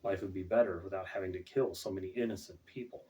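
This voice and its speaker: A man's voice: low voice, fairly even intonation, fast, darkish tone, slight background noise. A man reading his own fantasy fiction aloud as scripted audiobook narration. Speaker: author reading own book. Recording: distant, off-mic home recording.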